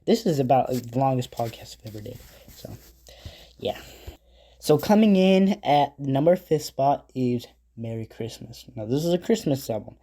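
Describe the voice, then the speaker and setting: A man's voice: medium pitch, animated, moderate pace, dark tone, dry recording, studio quality. A man hosting a casual podcast, rambling through notes with asides and self-corrections, his nose stuffy from a cold. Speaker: podcast host. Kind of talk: casual podcast monologue reading album facts.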